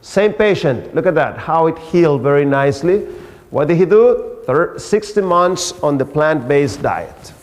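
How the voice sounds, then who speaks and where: A man's voice: on the high side, lively intonation, a little slow. A man giving a health lecture in a church hall, explaining emphatically with rhetorical questions. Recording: echoey hall with long reverb.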